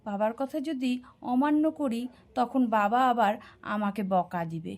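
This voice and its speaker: A woman's voice: fairly high pitch, lively intonation, measured pace, a little mellow. A woman voicing a talkative, easily exasperated young son in a family cartoon, speaking insistently. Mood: aggrieved protest. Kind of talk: cartoon voice acting.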